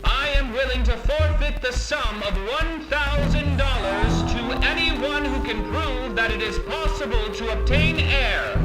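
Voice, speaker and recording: A man playing a grandiose escape-artist showman, half-singing a stage announcement, echoing over music. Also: very high-pitched. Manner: grandiose, declamatory. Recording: echoey stage sound under loud music.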